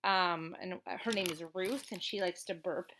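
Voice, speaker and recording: A woman's voice: lowish voice, measured pace, a bit bright, a little hiss or hum. A woman reading a children's storybook aloud as a teacher would, expressively and with chatty asides.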